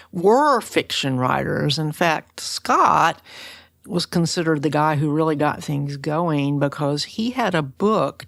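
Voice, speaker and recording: A woman's voice: low voice, vocal fry, no room sound, studio quality. An American woman, middle-aged or older, hosting a conversational podcast interview.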